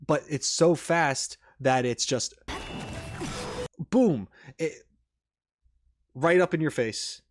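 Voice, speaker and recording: A man's voice: a little high, slow, pauses often, background noise. A man giving casual, unscripted reaction commentary as a video host, rambling informally with emphatic enthusiasm.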